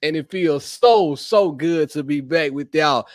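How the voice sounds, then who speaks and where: Hyped high-voiced man hosting a podcast. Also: measured pace, no room sound, clean studio sound. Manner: playful, hyped, slangy banter. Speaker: podcast host. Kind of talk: casual chat with spouse.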